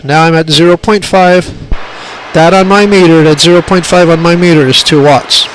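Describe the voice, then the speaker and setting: Casting: man, slightly high voice, plainspoken hobbyist walking through gear informally, repeats key points, faint noise on a homemade phone recording. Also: fairly even intonation, normal speed, fairly bright tone. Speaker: radio hobbyist demonstrating equipment. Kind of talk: informal hands-on tutorial. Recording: clearly noisy amateur phone recording.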